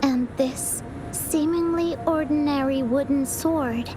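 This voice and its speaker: A woman's voice: sits high, slow pace, background noise. A woman voicing a timid, earnest young child in a video game, with a hesitant, childlike manner. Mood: earnest reading. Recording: music behind the voice.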